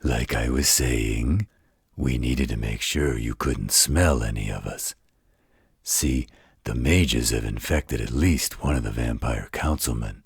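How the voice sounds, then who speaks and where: A man playing a blunt, loyal, wisecracking supernatural pack mate in a roleplay audio drama, with a raspy, gravelly voice and a laid-back manner. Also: a bass voice, slow pace, no echo, clean studio sound, full character voice. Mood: reluctant, explaining.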